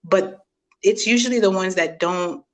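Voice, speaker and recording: A woman's voice: mid-range voice, moderate pace. A woman hosting a podcast interview, chatty and laughing often, acting out quoted reactions in casual talk. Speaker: podcast host.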